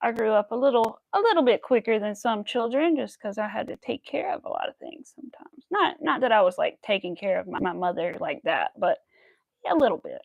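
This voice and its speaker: A woman's voice: medium pitch, big pitch swings, brisk, creaky, darkish tone, no echo, muffled recording. An American woman in her early thirties chatting casually and in a rambling way on a crafting livestream. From United States. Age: early 30s. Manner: chatty, rambling, counts stitches aloud. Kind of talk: casual livestream chat while crocheting.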